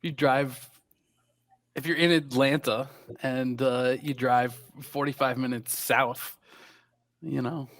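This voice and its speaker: A man's voice: mid-range voice, steady tone, slow, a bit bright. A man co-hosting a casual podcast chat, laughing often and explaining things at length. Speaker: podcast co-host.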